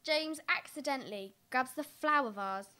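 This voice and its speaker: A woman's voice: high voice, animated, moderate pace, sharp tone, slight background noise. A young woman reading stage directions as scripted narration for a play. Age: young adult.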